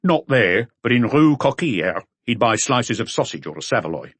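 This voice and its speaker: A man's voice: mid-range voice, very animated, moderate pace, fairly bright tone, slightly rough, dry recording, pitched above the performer's own voice. A man voicing a junior police detective in an audiobook, reporting details in a matter-of-fact way. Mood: dutiful reporting.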